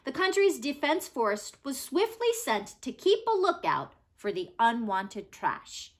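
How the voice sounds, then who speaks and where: A woman narrating a read-aloud story expressively, with dramatic pauses and emphatic stresses. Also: high voice, sing-song. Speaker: reader of a children's non-fiction book.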